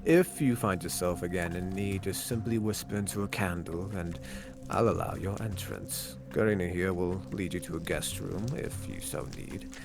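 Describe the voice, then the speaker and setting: A man playing a solemn, courteous young god of death in a Minecraft roleplay, speaking formally and cryptically in a gravelly voice. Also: sits low, music in the background. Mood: formal, measured. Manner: slow, formal, vague speech.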